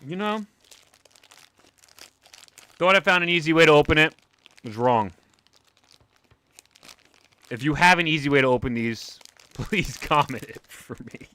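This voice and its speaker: A young man with a New York accent, chatting excitably on a livestream while opening comic packs, with a cartoonish way of talking. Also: on the high side, unhurried, long pauses, clean tone. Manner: excitable, animated, talks like a cartoon character. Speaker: comic collector streamer. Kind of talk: casual livestream chat.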